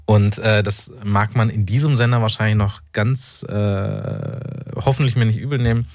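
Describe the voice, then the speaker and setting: A man's voice: on the low side, fairly even intonation, normal speed, darkish tone, no echo. A man from North Rhine-Westphalia, an author speaking reflectively as a radio interview guest, often searching for words. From North Rhine-Westphalia, Germany. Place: radio studio.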